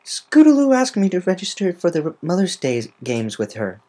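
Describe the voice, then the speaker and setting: A woman voicing a brash, troubled young female pony who speaks in a blunt, agitated, halting way. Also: fairly low pitch, huge pitch swings, mellow, voice pushed up. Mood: distressed, flustered.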